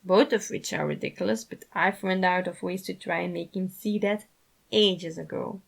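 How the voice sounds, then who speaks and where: Woman doing an angsty young guy in a story, gravelly fry, blunt and kinda halting. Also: fairly low pitch, fairly even intonation, heavily acted. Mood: exasperated, explaining. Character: moody angsty young man, protective and blunt. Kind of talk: fan fiction character reading.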